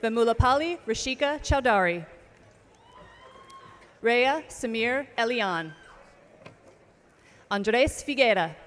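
Woman announcer, slow careful name reading, higher voice, echoing outdoor PA. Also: expressive. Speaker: name reader at commencement. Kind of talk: reading graduate names. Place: outdoor ceremony stage. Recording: long reverb of outdoor PA.

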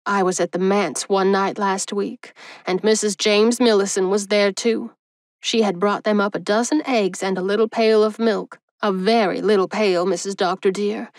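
A woman's voice: medium pitch, no echo, clean studio sound, lightly acted. A woman voicing a solemn, chatty middle-aged housekeeper who tells stories at length in a storybook reading. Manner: solemn, prattling, keeps saying dear.

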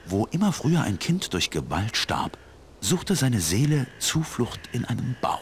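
An older man voicing a kindly elderly village priest in a cartoon game, telling tales in a measured way. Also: mid-range voice, sing-song, slight background noise. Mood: storytelling. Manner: measured, storytelling. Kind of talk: scripted cartoon game dialogue.

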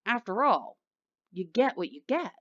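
A woman's voice: on the high side, sing-song, a little slow, a bit bright, dull audio. A woman reading a picture book aloud expressively for children. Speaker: children's story reader.

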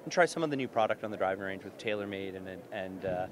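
A man's voice: low voice, animated, quick pace, background noise. A middle-aged man, giving spontaneous interview answers as an association president. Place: large indoor exhibition hall. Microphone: handheld reporter mic. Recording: busy convention crowd behind.

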